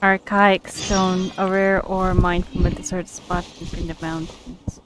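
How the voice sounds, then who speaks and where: A woman's voice: lowish voice, level tone, unhurried, over music. A woman gamer giving casual commentary while playing through a story.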